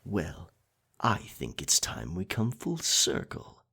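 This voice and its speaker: A man playing a dominant, possessive pirate captain in an intimate ASMR roleplay, teasing and sly, with a raspy, breathy voice. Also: huge pitch swings, sharp tone, heavily acted. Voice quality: raspy, breathy, gravelly low voice. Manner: slow, deliberate, teasing pirate speech.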